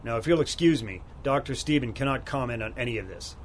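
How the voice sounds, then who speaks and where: An actor as a stiff, haughty male lab assistant in a 1930s radio play. His mid-pitched voice is clipped, officious and guardedly formal, with faint noise behind it. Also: lively intonation, quick pace, close to an everyday voice. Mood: curtly dismissive. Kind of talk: 1930s-style radio drama acting.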